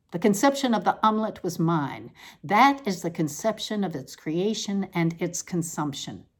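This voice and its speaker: A woman giving a scripted dramatic reading of literary prose in a deliberate, declamatory manner, leaning emphatically on repeated words. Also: lowish voice. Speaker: reader of a literary essay.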